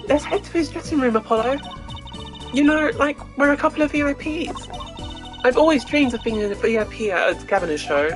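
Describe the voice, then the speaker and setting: A woman voicing an excitable, starry-eyed teenage girl music fan in a video game, gushing and exclaiming. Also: sits high, brisk, pitched above the performer's own voice. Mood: excited.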